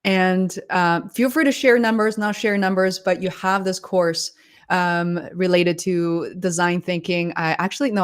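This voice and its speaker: A woman hosting a livestream interview over a video call, talking in an enthusiastic, effusive conversational way. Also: lowish voice. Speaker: livestream host.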